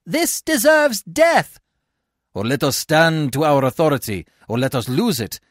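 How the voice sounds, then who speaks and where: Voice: mid-range voice, animated, normal speed, no room sound, clean studio sound, voice pushed up, natural voice. A reader voicing an angry Roman tribune in a theatrical Shakespeare read-aloud, declamatory and accusing. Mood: condemning.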